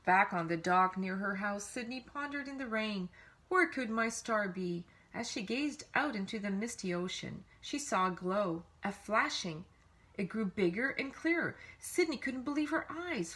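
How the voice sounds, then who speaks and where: A woman reads a children's storybook aloud in an animated way, leaning on key words with dramatic emphasis. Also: fairly high pitch, breathy edge. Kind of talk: expressive read-aloud storytelling.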